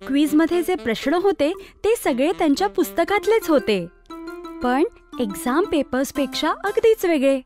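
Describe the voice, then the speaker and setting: Female narrator for children's moral tales, high-pitched, lively intonation, scripted. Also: normal speed, over music. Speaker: story narrator.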